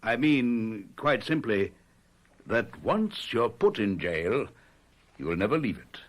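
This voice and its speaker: A tyrannical village lord from a 1970s radio drama. He speaks slowly and formally, with theatrical swings of pitch. His manner is imperious, pompous and menacing. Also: big pitch swings, exaggerated voice. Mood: gloating menace.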